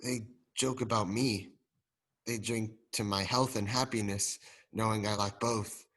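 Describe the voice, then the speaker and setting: A man's voice: fairly low pitch, steady tone, measured pace, a bit bright. A young man acting an anxious, stubborn old miser in a radio play. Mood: wistful.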